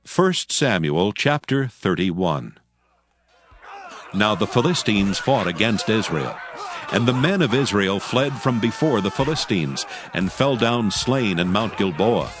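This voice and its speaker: A man narrating scripture as a scripted audio drama, with solemn, measured storytelling. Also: on the low side, very animated. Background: music bed.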